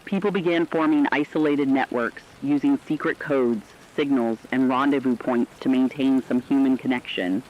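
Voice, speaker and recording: A man with a medium-pitched voice narrating a scripted apocalyptic story in a solemn, measured manner. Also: steady tone, muffled recording.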